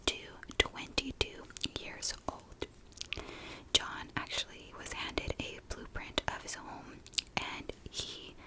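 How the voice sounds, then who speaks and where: Female ASMR host, soft breathy whisper, unhurried, informal true crime narration. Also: sits high, low-quality recording. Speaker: ASMR video creator and host.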